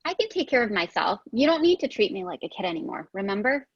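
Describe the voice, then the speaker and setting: A woman's voice: mid-range voice, sing-song, quick pace, husky, no echo, highs cut off. A woman playing a caring, independent young woman in an amateur sitcom table read. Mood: earnest.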